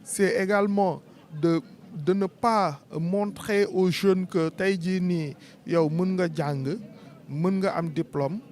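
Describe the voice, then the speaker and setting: A man with a Senegalese French accent, interviewed at an outdoor event, speaking in an earnest, measured, rhetorical way. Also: high-pitched, steady tone, a little mellow. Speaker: artist interviewee. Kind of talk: street interview, spoken-word declamation. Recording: crowd noise behind.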